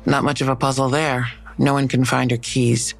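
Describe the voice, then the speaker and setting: An actress playing a skeptical police detective in an audio drama, dry and matter-of-fact, with a low, gravelly voice. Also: female voice, level tone, moderate pace, full character voice.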